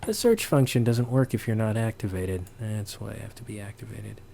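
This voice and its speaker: A man giving a casual, unscripted sound design tutorial, halting and thinking aloud as he works. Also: fairly low pitch, creaky, dark tone, a little hiss or hum. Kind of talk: casual, unscripted software walkthrough.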